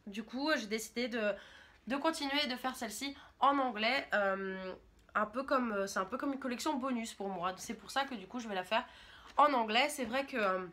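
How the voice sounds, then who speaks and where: French girl in her 20s rambling on camera about her hobby, kinda high voice, bright and sharp. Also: moderate pace. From France. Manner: chatty, rambling, enthusiastic. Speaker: YouTuber. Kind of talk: casual vlog monologue.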